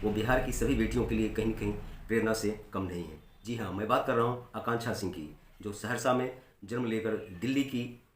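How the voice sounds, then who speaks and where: A male reporter opens the piece and puts questions to his guest in formal, flowery Hindi. His voice sits fairly low, and the room around him rings with echo. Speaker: news reporter and interviewer.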